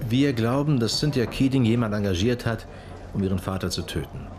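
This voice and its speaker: An actor playing a sober, persistent police detective in a radio drama, questioning people matter-of-factly. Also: male voice, on the low side, lively intonation, brisk, a little mellow, a little hiss or hum, natural voice.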